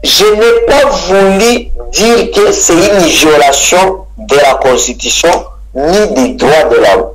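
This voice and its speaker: A man's voice: a little high, animated, slow, poor audio. A man from DR Congo with a Congolese French accent, an expert guest arguing his opinions in an interview, insistent and repeating phrases for emphasis. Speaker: electoral reform expert being interviewed.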